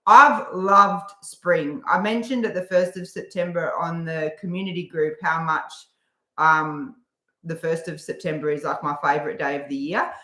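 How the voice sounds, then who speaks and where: Relaxed woman chatting in an echoey room. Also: deep voice. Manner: relaxed, frequent "um" pauses, reads viewer comments aloud. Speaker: co-host of a live stream. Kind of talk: casual live-stream chat.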